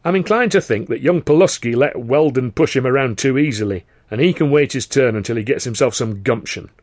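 A man's voice: medium pitch, fairly quick, a little mellow, dry recording, natural voice. A man voicing an imperious older theatre manager who is a born showman, theatrical and commanding. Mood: musing.

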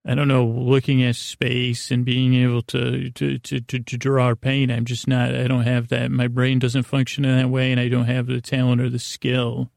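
A middle-aged man rambling through a bedtime monologue as a podcast host, halting, often interrupting himself and self-deprecating. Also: medium pitch, monotone, gravelly, a little mellow, dry recording, clean studio sound.

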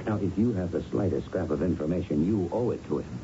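An American actor playing a patient, probing police lieutenant in a 1970s radio drama, questioning in a coaxing, deliberate way. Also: male voice, animated. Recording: muffled, noisy old radio recording with rumble.

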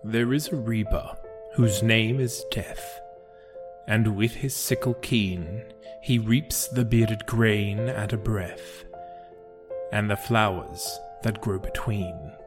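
A man reading poetry in a solemn, measured and deliberate way, as a scripted recitation. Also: fairly low pitch. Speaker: poetry podcast reader. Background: music.

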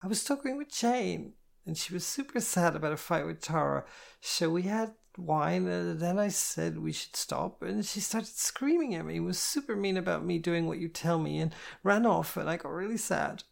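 A woman's voice: on the low side, vocal fry, voice pushed up. A woman playing a drunk, teary young woman. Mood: tearful. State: drunk, slurring, crying.

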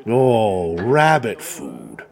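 A middle-aged dad in an audio drama, folksy and fond of ribbing. His pitch swings freely as he rambles, teasing and doting in equal measure. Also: wildly swooping pitch, very slow, creaky, a little mellow, exaggerated voice. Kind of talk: naturalistic audio drama dialogue.

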